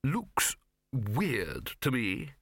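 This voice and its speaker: Guy doing a gruff blustery old-school university boss, forceful, swinging pitch, audiobook. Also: huge pitch swings, very slow, no room sound, clean studio sound, full character voice. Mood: blustering. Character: blustering, blunt male arch-chancellor of a university.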